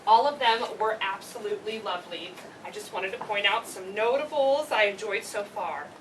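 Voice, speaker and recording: A woman playing an effusive, gushing schoolteacher with emphatic, deliberate phrasing in an amateur stage play reading. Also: medium pitch, close to an everyday voice. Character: effusive, gushing schoolteacher praising her students. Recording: distant mic, clearly noisy live room.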